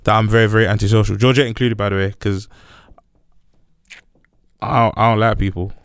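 A man's voice: lowish voice, little pitch movement, brisk, smooth, no echo. A young man with a London accent, a podcast guest chatting in a brash, joking way and repeating himself. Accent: London English. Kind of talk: casual chat.

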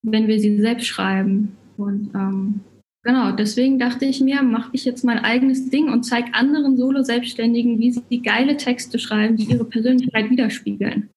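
Female podcast guest, German accent, slightly high-set voice, casual and conversational, a coach talking about her own work. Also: flat delivery, normal speed, darkish tone, low-quality recording. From Cologne, Germany.

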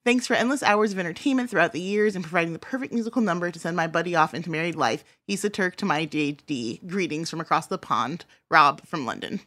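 A woman's voice: on the low side, quick pace, few pauses, bright, forceful tone, dry recording. A woman on a podcast, talking casually and reading out listener mail. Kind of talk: casual talk and letter reading.

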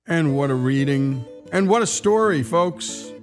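A man's voice: on the high side, expressive, measured pace, soft background music. An American man hosting a radio show, speaking in a scripted style.

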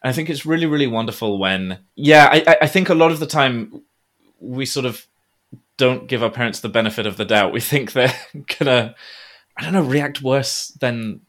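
A man's voice: mid-range voice, normal speed, a bit bright. A man hosting a podcast, chatting casually and offering supportive, encouraging replies. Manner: supportive, encouraging, frequent backchannels. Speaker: podcast host. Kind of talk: casual interview chat.

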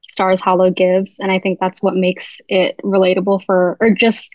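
A woman's voice: mid-range voice, completely flat delivery, normal speed, a little mellow, dry recording, lo-fi sound. A young woman from New Jersey, a writer guesting on a podcast, talking chattily with lots of "like.". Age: young adult. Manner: chatty, heavy use of "like". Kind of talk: casual interview chat.

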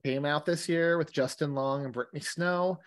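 A woman's voice: low voice, normal speed. A woman co-hosting a podcast, talking in a bubbly, enthusiastic way. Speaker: podcast co-host. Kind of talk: casual podcast chat.

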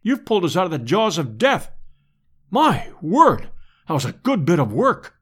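A man's voice: high voice, wildly swooping pitch, normal speed, breathy edge, heavily acted. A man voicing a dry, weary, plain-spoken older professor in an adventure audiobook. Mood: grateful. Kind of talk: dramatised audiobook character reading.

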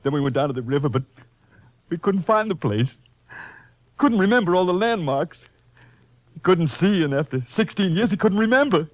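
A man's voice: high-pitched, lively intonation, moderate pace, long pauses, no room sound. A man playing a cornered, unstable killer in a 1950s radio crime drama, with an agitated, melodramatic delivery. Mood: broken, desperate. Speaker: actor playing the villain. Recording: dull, dry old radio recording.

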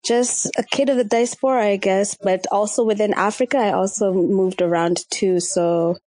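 A woman's voice: mid-range voice, fairly even intonation, normal speed, darkish tone, no room sound, rough recording. A woman in her thirties from Kenya and Ivory Coast, chatting casually and candidly as a podcast guest. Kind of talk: casual personal chat.

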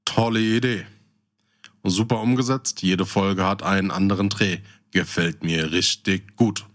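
A man's voice: deep voice, fairly even intonation, measured pace. A man co-hosting a podcast in casual, teasing banter with his partner. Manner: teasing, joking. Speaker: podcast co-host.

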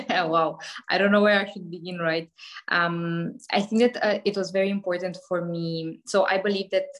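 Chatty Romanian woman, low voice. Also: fairly even intonation, moderate pace. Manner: laughs often, many fillers and restarts. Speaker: podcast guest, coach. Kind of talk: casual interview talk.

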